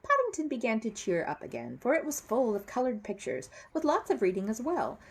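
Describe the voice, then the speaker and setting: A woman narrating a children's story with expressive, dramatic emphasis. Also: fairly high pitch, a little fast, husky. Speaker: storybook reader. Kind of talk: animated children's story narration with character voices.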